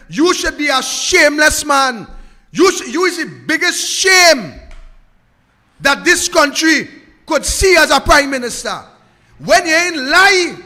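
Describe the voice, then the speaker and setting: A Trinidadian man hosts a political talk show with a very high, piercing voice. He scorns his targets and hammers the same questions again and again. The sound carries a long, echoey reverb. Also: pushed and bright. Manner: scornful, repetitive rhetorical questioning. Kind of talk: ranting live political commentary.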